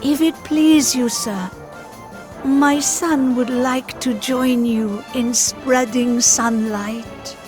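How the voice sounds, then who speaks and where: A polite, timid mother in a video game. She speaks slightly high and very slowly, deferential. Loud game music plays underneath. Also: fairly even intonation. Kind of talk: video game cutscene voice acting.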